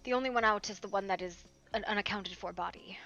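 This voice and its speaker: A woman's voice: medium pitch, quick pace, pushed and bright, highs cut off, soft background music. A woman roleplaying her character, talking in a dry, blunt, somewhat sarcastic way. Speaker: streamer playing her character.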